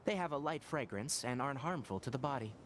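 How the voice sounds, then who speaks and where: An actor playing a calm, measured young ranger scholar who explains things like a lecturer. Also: male voice, medium pitch, a little hiss or hum, natural voice. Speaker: ranger character. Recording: game audio with background ambience.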